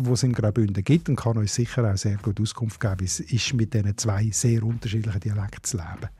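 A man with a Swiss German accent, co-hosting a dialect podcast and explaining the next guest in a relaxed way. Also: mid-range voice, slightly rough. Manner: relaxed, explanatory, a few hesitations. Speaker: podcast co-host. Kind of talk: radio chat trailer.

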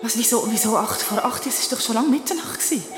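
A woman's voice: a little high, lively intonation, fast, lightly acted. An actress playing a frightened woman in labour in a radio drama, gasping, moaning and breaking off her words. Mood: frightened, pained. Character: frightened woman in painful labour, anxious and swearing. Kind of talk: naturalistic radio drama acting. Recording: roomy sound with loud music behind.

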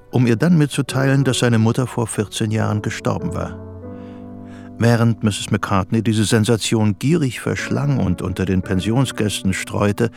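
A man's voice: medium pitch, gravelly. A man narrating a radio play from a literary script.

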